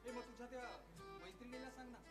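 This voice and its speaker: An actor plays a frustrated young man in love on stage, his voice unusually high for a man. He speaks in emphatic, halting bursts and repeats himself. The sound is echoey and distant, with loud music around it. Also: fairly even intonation. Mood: tender, teasing. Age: young adult. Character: frustrated young man quarrelling with the woman he loves. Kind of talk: one-act stage play dialogue.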